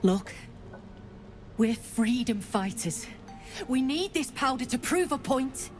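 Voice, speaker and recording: Gnome freedom fighter for a fantasy game: defiant, cynical, sweary, fairly high voice, faint music beneath. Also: female voice, lively intonation, slow. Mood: urgent bargaining. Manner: blunt, combative, cynical. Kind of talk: video game voice acting.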